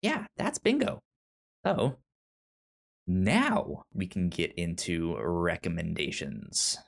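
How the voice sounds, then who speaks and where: A man co-hosting a podcast in casual movie chat, talking haltingly with stammered repeats. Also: low voice, big pitch swings, gravelly. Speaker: podcast co-host.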